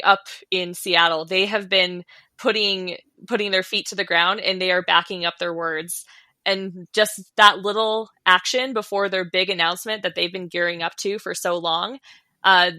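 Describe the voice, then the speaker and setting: A woman's voice: mid-range voice, bright, forceful tone, dry recording. A woman co-hosting a podcast, speaking in casual chat. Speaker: podcast co-host.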